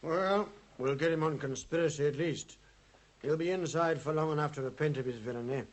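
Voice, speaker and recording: An actor playing a practical, gruff police inspector in 1950s radio style. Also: male voice, fairly high pitch, a little fast, natural voice. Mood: puzzled, firm.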